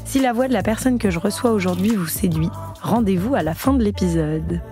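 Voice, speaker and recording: A woman's voice: medium pitch, brisk, dark tone, faint music behind. A French woman hosting a podcast, chatting in a friendly way and laughing often. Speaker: podcast host. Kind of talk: casual interview chat.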